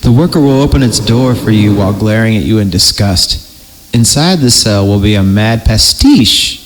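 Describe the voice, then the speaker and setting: A man's voice: on the low side, animated, moderate pace, low-quality recording. A man hosting a podcast, reading horror stories in a theatrical way and breaking off for jokey asides. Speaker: podcast host. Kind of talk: dramatic horror story reading with joking asides.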